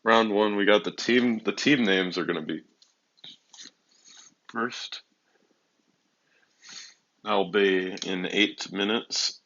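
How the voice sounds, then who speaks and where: A teenage guy with a notably low, forceful voice hosts a livestream. His tone is edged with vocal fry, and he talks casually and off the cuff, filling time between announcements. The sound is slightly dull and lo-fi. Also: slow pace, long pauses, slight background noise. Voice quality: deep voice. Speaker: livestream host of an online racing league draft. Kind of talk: casual livestream chat with announcer-like list reading. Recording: dull, lo-fi microphone.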